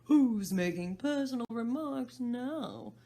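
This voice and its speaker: A woman's voice: a little high, animated, slow, gravelly, voice pushed up, heavily acted. A woman voicing an eccentric, quarrelsome man with a mournful, sighing way of talking. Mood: smug. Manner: mournful, then smugly argumentative.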